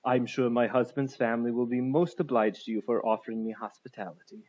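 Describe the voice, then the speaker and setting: A man's voice: mid-range voice, steady tone, a little fast, darkish tone, dull audio, lightly acted. A man voicing a defiant married woman held captive in an audiobook, with dry, gracious sarcasm. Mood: coolly gracious. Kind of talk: audiobook character voice.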